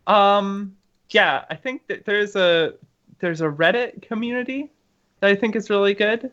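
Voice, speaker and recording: A woman guest chatting casually on a podcast, halting and searching for words with many fillers. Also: mid-range voice, a bit bright. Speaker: guest gamer and streamer. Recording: dull lo-fi remote audio.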